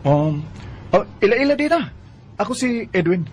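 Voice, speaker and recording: High-pitched, swooping Cebuano smooth talker. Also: male voice, sing-song, normal speed, a little mellow. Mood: friendly, courting. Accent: Cebuano Filipino. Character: evasive two-timing delivery driver, smooth-talking young man. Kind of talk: Bisaya radio drama acting. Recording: noisy radio drama recording.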